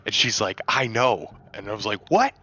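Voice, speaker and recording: A man's voice: fairly low pitch, very animated, moderate pace, sharp tone. A man who plays in a tabletop roleplaying game, casually retelling events and quoting dialogue as he goes.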